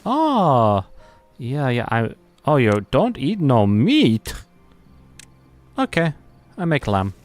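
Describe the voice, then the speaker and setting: A man voicing a loud, hospitable older Greek relative who insists on feeding you, in an exaggerated put-on Greek accent. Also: wildly swooping pitch, slow pace, long pauses, faint music behind. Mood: doting. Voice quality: loud, high-pitched, exaggerated.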